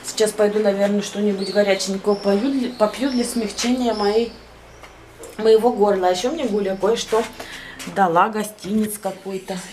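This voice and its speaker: A woman in her forties, a little hoarse, chatting casually to her phone camera at home on her balcony. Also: medium pitch, steady tone, normal speed, darkish tone, a little hiss or hum. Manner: chatty, cooing to her pet. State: slightly hoarse. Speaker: vlogger. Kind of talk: casual vlog chat to camera. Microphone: handheld phone camera.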